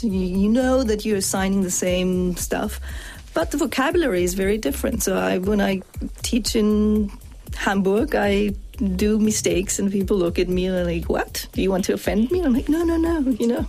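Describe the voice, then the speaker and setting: A woman linguist answering interview questions in a steady, explanatory way. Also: medium pitch, poor audio.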